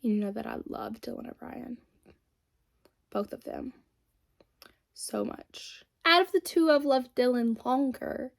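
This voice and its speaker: Young woman, slightly high voice, casual rambling makeup chat. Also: animated, takes it slowly, gravelly, long pauses. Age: 20s. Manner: chatty and rambling, many fillers. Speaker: beauty vlogger.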